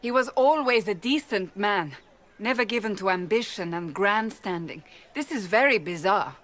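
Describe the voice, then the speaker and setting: A woman's voice: mid-range voice, expressive, normal speed, a bit bright, close to an everyday voice. A woman playing a firm, dutiful agent.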